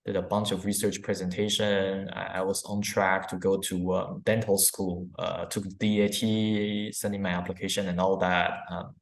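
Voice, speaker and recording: Male academic, interview guest, lowish voice, informal and explanatory, recorded in a roomy echoing space. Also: little pitch movement, normal speed, gravelly, hardly any pauses. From born in Taiwan, grew up in Belize. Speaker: psychology professor, interview guest. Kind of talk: conversational interview answers. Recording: roomy, echoey interview sound.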